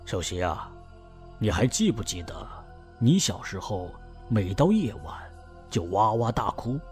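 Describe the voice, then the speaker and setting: A man playing a knowing family elder in an ancient-era audio drama, explaining an old family legend in a measured way. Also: fairly low pitch, very animated, darkish tone, faint music behind. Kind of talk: fan audio drama acting.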